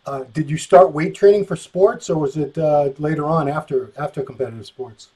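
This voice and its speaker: Male interview host, husky and a touch high, loose rambling delivery. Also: fairly quick, darkish tone, low-quality recording. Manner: rambling, self-described scatterbrained. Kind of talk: casual interview.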